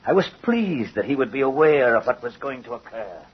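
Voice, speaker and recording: An actor playing a vengeful, gloating doll-maker in old-time radio horror, with a theatrical, menacing and mocking manner. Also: male voice, medium pitch, big pitch swings, fairly quick. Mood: confessing. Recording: husky, muffled old radio recording.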